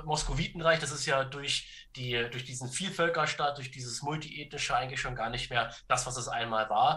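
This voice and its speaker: A man speaking as a podcast guest, explaining history and politics conversationally in a halting way, searching for words and checking in as he goes. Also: on the high side, pushed and bright.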